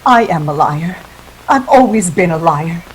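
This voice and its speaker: A woman's voice: lowish voice, animated, unhurried, rough recording. A scheming femme fatale acted by a woman in a 1940s radio play. Her delivery is melodramatic and seductive, rising and falling widely. A little noise runs behind her. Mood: coy, evasive. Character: scheming, seductive femme fatale dame, world-weary liar.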